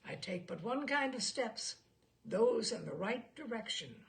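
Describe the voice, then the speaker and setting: A woman's voice: a little high, sing-song, unhurried, gravelly, exaggerated voice. Woman playing a pompous, precise male expert, swooping delivery. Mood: boastful, emphatic. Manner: precise, self-satisfied, declamatory. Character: pompous, fussy male cliché expert witness, proudly precise. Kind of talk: humorous short story read aloud.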